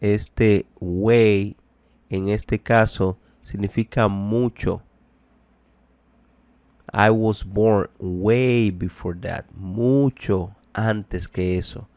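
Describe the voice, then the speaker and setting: A man with a fairly low voice gives a bilingual language lesson. He speaks very slowly and deliberately, translating and repeating each phrase. The sound is dry, with little room around it. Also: steady tone, darkish tone, no echo. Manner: deliberate, repetitive, explanatory. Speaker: English teacher.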